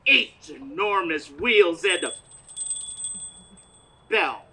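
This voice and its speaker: A woman's voice: on the low side, expressive, drawn-out words, sharp tone, slight background noise, exaggerated voice. A woman playing an earnest, flustered radio news reporter in a comedic stage radio play, recorded outdoors live. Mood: flustered, grandiose. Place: outdoors, parking lot stage.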